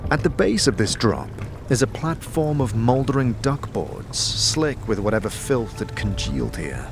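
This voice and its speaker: A man giving scripted fantasy narration in a dramatic, measured storytelling manner. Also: mid-range voice, big pitch swings. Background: music.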